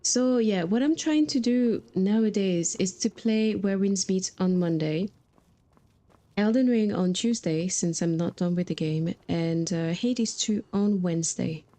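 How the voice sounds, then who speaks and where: Mellow-voiced woman chatting on a game stream. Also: medium pitch, a little slow. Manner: chatty, informal. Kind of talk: casual livestream chat while playing.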